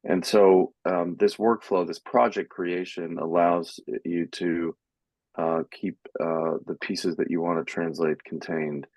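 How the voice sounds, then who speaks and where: Deep-voiced man explaining haltingly, dry sound. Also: steady tone, a little mellow, dry recording, low-quality recording. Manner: halting, repeats words. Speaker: software company presenter in a webinar. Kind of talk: unscripted business explanation.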